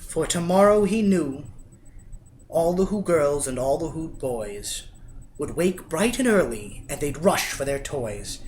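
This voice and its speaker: Male storybook reader, lighter-pitched and unhurried, dramatic emphatic delivery of rhyming verse, faint background noise. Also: expressive, a little mellow. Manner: theatrical, emphatic, swelling and dropping for effect. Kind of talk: expressive rhyming children's story narration.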